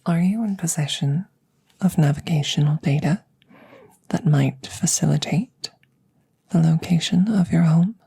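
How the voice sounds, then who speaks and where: Alien scientist, played by a woman: low, breathy near-whisper, very unhurried, formal and detached, close-miked ASMR roleplay. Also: warm tone, no room sound, exaggerated voice. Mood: calm, businesslike. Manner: slow, formal, precise, detached phrasing. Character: curious, clinical alien scientist tending a rescued human. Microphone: close microphone, ASMR style.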